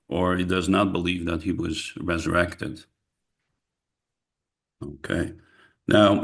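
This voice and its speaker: A man's voice: low-pitched, vocal fry. A man with a Flemish accent, leading a book club discussion over a video call, speaking carefully and hesitantly. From Belgium. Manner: hesitant, careful, apologetic about his English. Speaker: discussion leader. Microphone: computer mic on video call.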